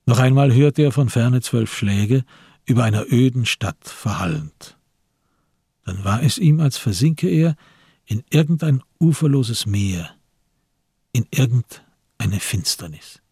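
A man with a husky, gravelly voice narrating a literary radio drama from a script. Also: medium pitch, lively intonation, measured pace, mellow, no room sound, clean studio sound.

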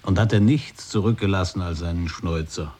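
Radio-play role: a low, husky, dryly skeptical man. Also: brisk, warm tone. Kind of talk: 1980s radio play acting.

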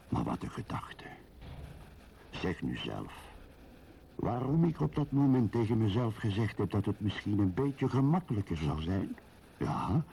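An actor playing a brooding, lonely middle-aged husband in a radio drama, musing and questioning himself wryly. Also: male voice, fairly low pitch, creaky, dark tone, a little hiss or hum, full character voice. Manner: musing, self-questioning, wry.

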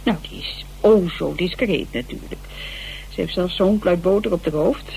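A woman's voice: on the low side, moderate pace, creaky, slight background noise, low-quality recording. An actress playing a chatty, irreverent woman amateur sleuth in a colloquial radio drama. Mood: wry, confiding. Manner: gossipy, animated, colloquial. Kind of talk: conversational radio drama acting.

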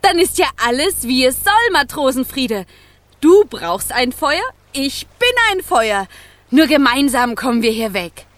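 A woman's voice: high-pitched, sing-song, a little slow, no echo. A woman playing a small, eager living flame in a children's radio play, bold, yearning and coaxing, with wide-eyed exclamations.

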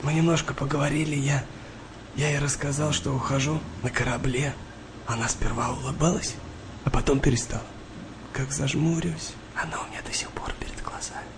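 An actor playing a shy, sensitive, worn-out eighteen-year-old sailor in a radio play, confiding in a hesitant, naive way. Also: male voice, mid-range voice, fairly even intonation, a little fast, noisy background, natural voice. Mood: shy, wistful. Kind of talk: naturalistic radio play dialogue.

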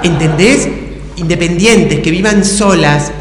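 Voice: mid-range voice, slow. A performer with a Rioplatense accent narrating improvised stage comedy, teasing and emphatic while posing choices. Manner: teasing, emphatic, repeats prompts. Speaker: improv game narrator and player. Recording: echoey hall, clear background noise.

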